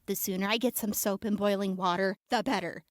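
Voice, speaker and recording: A woman's voice: medium pitch, steady tone, a little fast, no echo, studio quality, natural voice. A woman playing a squeamish, exasperated wasteland traveler with a dramatic manner in an audio drama. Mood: disgusted.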